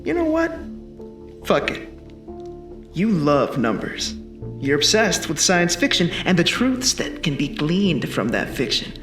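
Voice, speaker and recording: A time-traveling bodyguard in a sci-fi action film. His voice is high and very animated, terse and commanding with a cocky edge. It rings in a roomy space with music clearly behind it. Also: a little slow, long pauses. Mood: confident, knowing. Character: urgent male time-traveling protector from the future, commanding and cocky.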